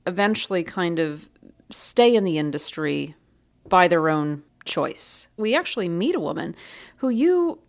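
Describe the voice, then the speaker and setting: A woman hosting a radio interview show, asking measured, probing questions. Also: lowish voice, sing-song. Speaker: podcast host.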